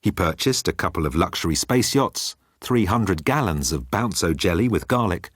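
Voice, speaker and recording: A man reading comic science fiction as an expressive audiobook narrator. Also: low-pitched, moderate pace, no echo, clean studio sound.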